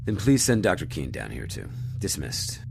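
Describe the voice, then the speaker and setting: A man's voice: deep voice, big pitch swings, moderate pace, vocal fry, heavy background noise, full character voice. A man playing a commanding spaceship captain in a science fiction audio drama, interrogating in a clipped, formal, authoritative way.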